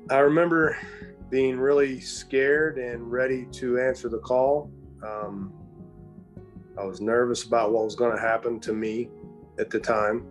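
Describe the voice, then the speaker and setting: Measured, hesitant man in his forties. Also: mid-range voice, steady tone, soft background music. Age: 40s. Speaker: Navy senior chief. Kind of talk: recorded testimonial. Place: room at home.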